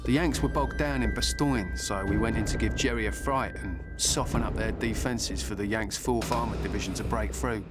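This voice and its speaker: Casting: a man as a wry, chatty British paratrooper narrating a war game, low voice over stirring music. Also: moderate pace, few pauses, close to an everyday voice. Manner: chatty, wry soldier's storytelling. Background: war score music.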